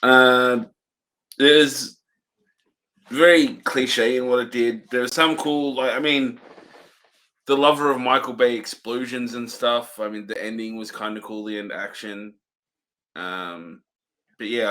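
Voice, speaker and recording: A man's voice: mid-range voice, pushed and bright. A man co-hosting a podcast, speaking in a laid-back, hesitant way and laughing often. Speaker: podcast co-host. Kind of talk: casual chat.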